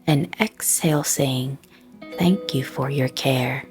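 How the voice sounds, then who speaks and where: A woman with a low voice leads a scripted guided prayer meditation. Her delivery is soft, breathy and very slow, with pauses between short phrases. Gentle plucked guitar music plays softly behind her.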